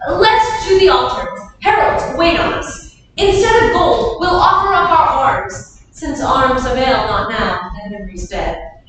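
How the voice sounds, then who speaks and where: A woman's voice: high-pitched, lively intonation, measured pace, fairly bright tone. A woman playing a grieving, martial English duke in a declamatory staged reading of Shakespearean verse. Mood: solemn appeal. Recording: very echoey hall from far off, faint noise.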